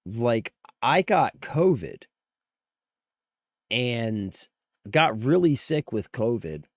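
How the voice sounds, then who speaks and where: A man's voice: medium pitch, very animated, extremely slow, no echo, studio quality. A man hosting a casual livestream, talking informally to viewers while sick, his voice strained. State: sick, voice strained from near loss. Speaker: live streamer host. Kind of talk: casual livestream commentary on gaming news.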